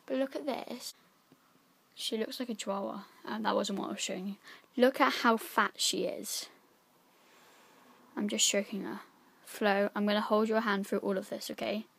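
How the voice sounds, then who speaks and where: Casting: croaky teenage girl, casual rambling vlog talk, mid pitch, phone held out. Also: steady tone, moderate pace. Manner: chatty, rambling, lots of "like". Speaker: vlogger. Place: bedroom, then back garden. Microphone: phone held at arm's length.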